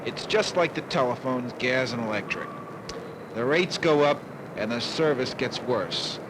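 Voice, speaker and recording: A man's voice: medium pitch, lively intonation, measured pace. An American man narrating a political documentary. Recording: noisy old newsreel sound.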